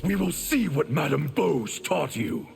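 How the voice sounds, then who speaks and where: A man's voice: a little high, lively intonation, measured pace, creaky, full character voice. An actor playing a scornful, menacing male fighter in a video game. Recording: loud game noise behind.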